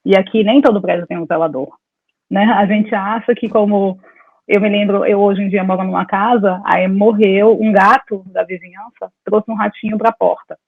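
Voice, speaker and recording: A woman's voice: medium pitch, steady tone, moderate pace, dry recording. A woman in her forties from Salvador, Brazil, a dentist talking as an interview guest over a video call, telling her story in a meandering, talkative way. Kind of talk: casual conversation over a call. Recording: dry, tinny phone-like call audio.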